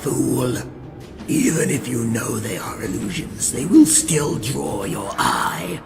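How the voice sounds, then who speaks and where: A man playing a proud, grim, vengeful vampire in an audio drama, with a gravelly voice and brooding, theatrical delivery. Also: mid-range voice, very animated, slow pace, heavily acted. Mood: contemptuous. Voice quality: gravelly, creaky. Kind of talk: dramatic first-person narration in an audio drama. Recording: music under the voice. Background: music.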